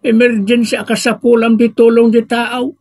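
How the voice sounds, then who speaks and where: A man's voice: very high-pitched, little pitch movement, normal speed, a little mellow, breathy edge, no echo, clean studio sound. A man playing an exasperated, chiding village husband in an audio comedy drama. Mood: urgent, reproachful. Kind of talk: Ilocano radio-style comedy drama acting.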